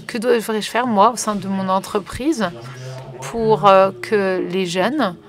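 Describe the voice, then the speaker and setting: A woman's voice: mid-range voice, fairly even intonation, slow pace. A woman working as a conference interpreter, relaying a panel live with frequent fillers and restarts. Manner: hesitant, many fillers and restarts.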